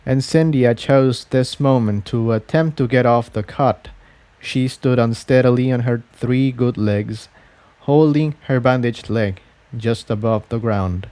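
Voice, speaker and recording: Slow-paced man narrating a story. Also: medium pitch, dark tone. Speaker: audiobook-style fan fiction reader.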